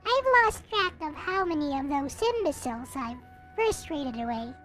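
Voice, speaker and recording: A woman's voice: high-pitched, very animated, normal speed, a bit bright, soft background music. A woman voicing a bitter, hopeless young narrator in a visual novel, reading in a melancholy, theatrical way. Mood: bitter, despairing. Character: gloomy, bitter, hopeless young person going blind, self-pitying narrator.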